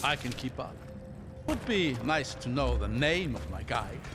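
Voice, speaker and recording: An actor playing a courteous, wry older scholar-traveler in a cinematic video game, talkative and good-humoured. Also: male voice, mid-range voice, a little slow. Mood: affable, wry. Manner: courteous, wry, expressive storytelling delivery. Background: background music.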